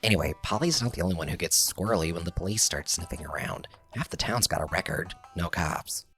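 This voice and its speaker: A man's voice: sits low, brisk, a bit bright. A man playing a sly, sarcastic, unbothered alien townsperson in a sci-fi comedy audio drama. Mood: sardonic. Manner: sarcastic, dry needling. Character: sardonic, sly small-town alien with mandibles, unbothered.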